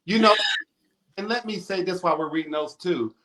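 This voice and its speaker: A man in his forties with a strong baritone voice, a radio host speaking as an interview guest in an emphatic, animated way. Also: a little fast. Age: 40s. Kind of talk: casual interview conversation.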